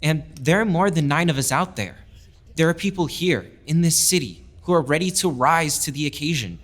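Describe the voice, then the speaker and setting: A man's voice: on the high side, animated, normal speed, a bit bright. An actor playing an earnest young male lieutenant, a proud outsider making his case in an audio drama. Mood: hopeful, urgent. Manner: impassioned, oratorical. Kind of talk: full-cast audio drama speech.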